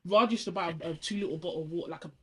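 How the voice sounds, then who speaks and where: A young woman, co-hosting a casual podcast and telling her story in a quick, animated way. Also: fairly low pitch, crowd noise. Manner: fast, animated storytelling with frequent laughs. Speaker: podcast co-host.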